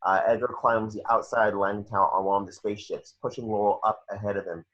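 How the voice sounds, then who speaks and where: Male script narrator, lower-pitched and husky, muffled home recording, slightly halting read of stage directions. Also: little pitch movement, a bit bright. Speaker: reader of action descriptions. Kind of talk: screenplay narration at a table read.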